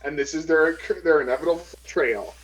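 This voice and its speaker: A man's voice: a little high, a little fast, low-quality recording. A man chatting and role-playing casually as a player in a tabletop game.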